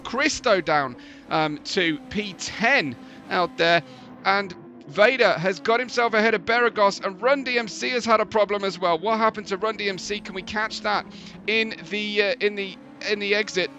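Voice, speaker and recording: A man with a tired, gravelly voice commentating a sim racing live stream, chatting between bursts of race calls. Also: high voice, normal speed, dull audio. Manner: chatty, laughing asides, excitable during race action.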